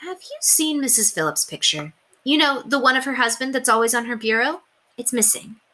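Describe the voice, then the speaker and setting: Woman reading as a caring, patient, bright-voiced mother. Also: fairly high pitch, animated, a little fast, pitched above the performer's own voice. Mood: concerned. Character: caring, gently reassuring mother. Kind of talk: children's storybook read-aloud.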